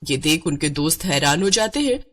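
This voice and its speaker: Animated female storyteller. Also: sits low, fairly quick, a little hiss or hum. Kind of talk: scripted cartoon story narration.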